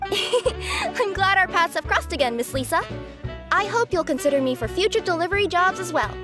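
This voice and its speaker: A bubbly young cat-girl courier in an anime game. Her voice is high and girlish, with an eager, cheerful and polite way of talking. There is clear music underneath. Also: lively intonation, normal speed. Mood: friendly.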